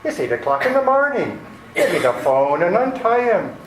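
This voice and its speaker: Blustering radio-drama police lieutenant, male actor, incredulous, wildly swooping pitch, echoey room. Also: very animated, normal speed. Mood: bossy. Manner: brash, incredulous. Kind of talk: amateur radio play acting. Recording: echoey room with faint noise.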